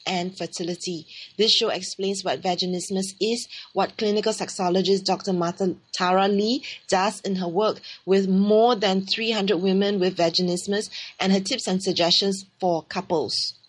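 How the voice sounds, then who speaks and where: A woman with a Singaporean English accent hosts a solo radio talk show. She explains in an earnest, teacherly way, and her voice sits at a mid pitch. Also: a little hiss or hum. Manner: earnest, explanatory, reads aloud then speaks freely with restarts. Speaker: radio show host and sexologist.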